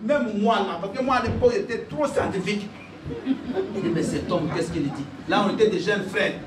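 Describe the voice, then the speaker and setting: High-voiced preaching pastor, echoey hall. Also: male voice, normal speed, heavy background noise. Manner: exhorting, laughing asides, shouted declarations. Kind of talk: sermon. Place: church. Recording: echoey church hall, picked up from a distance. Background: congregation responding.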